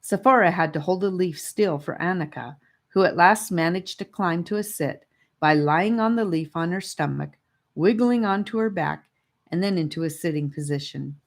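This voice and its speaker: Female audiobook narrator for children's fantasy, slightly low register. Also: moderate pace. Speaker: audiobook reader. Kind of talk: scripted children's story narration.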